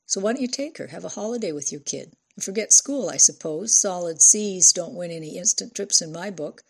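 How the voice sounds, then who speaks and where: A woman voicing an exasperated, needling father in an audiobook, brisk and sarcastic. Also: on the low side, lively intonation, vocal fry, slightly rough, heavily acted.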